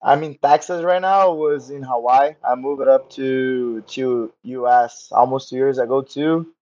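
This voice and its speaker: A man in his twenties from Brazil with a Portuguese accent, a podcast guest who is sick with a sore throat, speaking in halting English with fillers. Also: mid-range voice, fairly even intonation, darkish tone, lo-fi sound. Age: 20s. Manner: halting non-native English with filler words. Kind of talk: casual interview chat.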